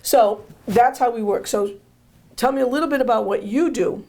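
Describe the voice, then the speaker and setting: Hoarse woman hosting a chatty interview. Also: a little high, normal speed, mellow. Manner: rambling, repeats herself, joking asides. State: hoarse, losing her voice. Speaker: host. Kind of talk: casual interview chat.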